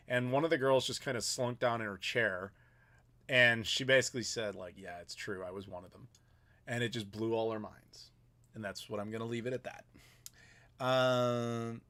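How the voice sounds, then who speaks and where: A man's voice: mid-range voice, fairly bright tone. A man in his thirties hosting a casual live Q&A, chatting loosely and reading viewer messages aloud. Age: 30s. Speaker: YouTuber host.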